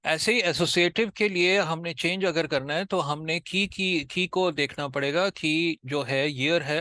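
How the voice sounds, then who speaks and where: A man with an Indian accent giving an informal step-by-step tutorial as a programming tutor, repeating himself haltingly and mixing in English technical terms. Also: a little high, bright, forceful tone, dry recording.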